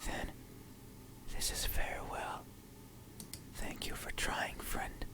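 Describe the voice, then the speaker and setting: An actor voicing an eerie, menacing yet sorrowful stranger in a horror game, in a hushed, deliberate whisper. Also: lightly acted. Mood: mournful farewell.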